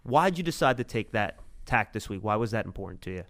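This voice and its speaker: A man's voice: fairly low pitch, animated, fast talker, fairly bright tone, dry recording, studio quality. A man hosting a sports podcast, introducing segments and putting questions to his guest. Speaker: podcast host and interviewer.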